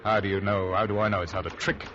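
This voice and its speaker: A man plays a stern sea captain with a low voice, wary and measured in his speech. It is classic radio drama acting. The recording is dull and muffled, with clear noise throughout. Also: little pitch movement, close to an everyday voice. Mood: suspicious, torn. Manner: suspicious, measured, halting. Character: wary, stern male ship's captain, grudgingly bargaining. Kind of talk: old-time radio drama acting and first-person narration.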